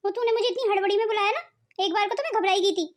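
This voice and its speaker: Woman voicing a bossy, very high-pitched meddling elder sister. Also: racing. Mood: bossy, suspicious. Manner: commanding, insistent. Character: bossy, suspicious older sister, meddling cartoon schemer. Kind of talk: Hindi cartoon family drama dialogue.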